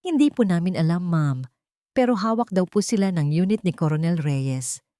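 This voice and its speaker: A woman's voice: lowish voice, expressive, moderate pace, mellow, no echo, close to an everyday voice. Fearful henchman for story drama, voiced by a female narrator, respectful, deferential, dry close sound. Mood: frightened. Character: frightened, deferential underling of a crime schemer.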